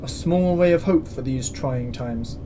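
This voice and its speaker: A man acting as a stern commandant running a space station in a sci-fi audio drama. He speaks in a measured, formal way at a middle pitch, with dry authority. Clear background ambience sits behind him. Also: noisy background, close to an everyday voice. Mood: measured, grave.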